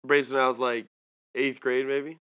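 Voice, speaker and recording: A man's voice: mid-range voice, flat delivery, moderate pace, pushed and bright, clean tone, no room sound. A man in his twenties co-hosting a casual podcast, bantering and teasing his co-host. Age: 20s. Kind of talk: casual chat.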